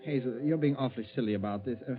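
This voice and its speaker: An actor playing a nervous, scheming middle-aged embezzler who hesitates and stammers, in 1940s radio style. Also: male voice, mid-range voice, rapid-fire, natural voice. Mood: coolly scheming. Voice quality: hesitant, stammering under pressure. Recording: tinny, band-limited 1940s radio recording.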